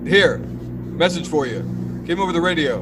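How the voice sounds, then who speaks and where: A man's voice: a little high, normal speed, over music, close to an everyday voice. A man playing a curt, unimpressed airplane pilot in a 1940s radio drama style. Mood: curt, matter-of-fact.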